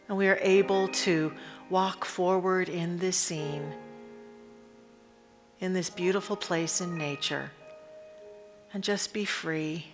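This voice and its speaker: A woman leading a guided meditation in a reverent, unhurried manner, pausing deliberately between short phrases. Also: on the low side. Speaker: spiritual leader guiding a meditation. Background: instrumental music.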